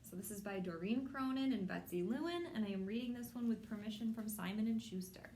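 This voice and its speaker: A woman hosting a children's storytime, reading a picture book aloud in simple, measured phrases addressed to young children. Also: medium pitch, mellow, a little hiss or hum. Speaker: children's storytime host at a public library.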